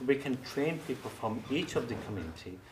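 A man's voice: medium pitch, expressive, a little fast, far from the mic. A man hosting a community podcast interview, often summing up what the guest says and adding short agreements. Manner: frequent short backchannels, summarizing the guest. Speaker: podcast host. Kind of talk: conversational interview. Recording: echoey room, mic set back, faint noise.

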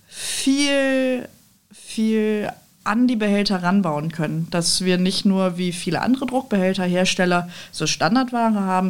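A woman from the Rhineland, talking candidly as an interview guest with self-deprecating humour. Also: lowish voice. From Rhineland, Germany. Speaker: podcast guest, technical sales professional. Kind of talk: conversational interview, telling anecdotes.

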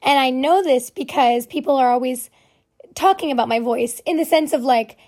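A young woman with a naturally hoarse, raspy voice, hosting a casual solo podcast and rambling chattily with lots of fillers. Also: sits high, normal speed, darkish tone. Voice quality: hoarse, raspy voice from vocal cord nodules. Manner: rambling, chatty, self-deprecating with many fillers. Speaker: podcast host.

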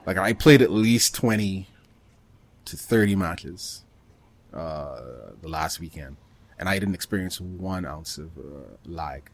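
A man co-hosting a podcast, chatting casually about gaming in a halting way, often rephrasing himself mid-thought. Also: sits low. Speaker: podcast co-host.

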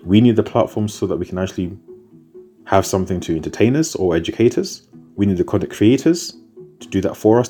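Deep, mellow-voiced man explaining strategy. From born in Zimbabwe, raised in the United Kingdom. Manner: repetitive, building lists for emphasis. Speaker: content marketing speaker. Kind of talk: explanatory talk on content strategy.

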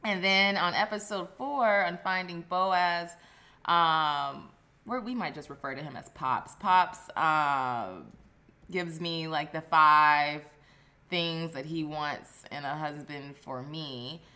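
A woman's voice: low voice, takes it slowly, sharp tone. A woman hosting a casual family podcast, bubbly and chatty, laughing often and using fillers. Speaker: podcast host.

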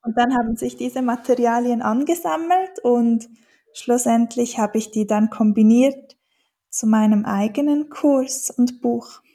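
A woman's voice: fairly high pitch, a little slow, dark tone. A woman from German-speaking Switzerland with a Swiss accent, a dialect teacher answering interview questions thoughtfully, with many fillers and restarts.

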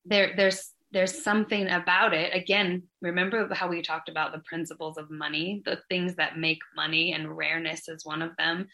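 A woman's voice: lowish voice, level tone, normal speed, hardly any pauses, pushed and bright. A woman hosting a podcast interview, talking reflectively and searching for words, with spiritual language. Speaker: podcast host.

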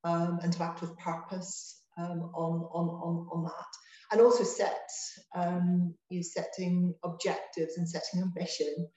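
A woman from Ireland, a health service director talking as a guest in an interview, measured and often restarting her phrases. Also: on the low side, level tone, mellow, reverberant, poor audio. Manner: measured, with frequent restarts and fillers. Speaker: NHS trust managing director, guest. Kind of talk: interview conversation.

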